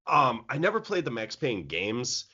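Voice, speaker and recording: A man's voice: mid-range voice, huge pitch swings, moderate pace, sharp tone, breathy edge. A man co-hosting a casual podcast chat, rambling with restarts and mocking quotes of other people. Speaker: podcast co-host. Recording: dull lo-fi audio.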